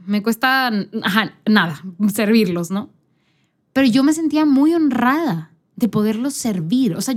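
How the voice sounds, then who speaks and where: High-voiced woman talking earnestly about faith. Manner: conversational, earnest, addresses the listener directly. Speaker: podcast host and church singer. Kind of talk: casual, personal faith talk.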